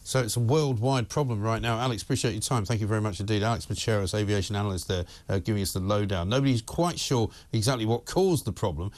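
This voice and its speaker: A man's voice: on the low side, quick pace, hardly any pauses, darkish tone. A man presenting live news, putting direct questions to a guest in a conversational way with short interjections.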